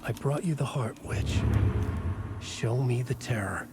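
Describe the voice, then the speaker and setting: An actor playing a solemn writer who narrates a horror story in a video game, in short, deliberate sentences. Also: male voice, medium pitch, loud music behind the voice, close to an everyday voice. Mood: ominous, solemn. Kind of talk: scripted literary narration in a game.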